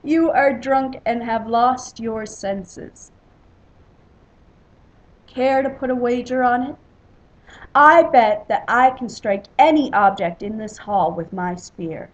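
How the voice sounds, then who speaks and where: A woman's voice: mid-range voice, slow, a little mellow, natural voice, low-quality recording. A woman voicing boastful, rowdy drunken Norse warriors in a storybook myth. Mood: taunting.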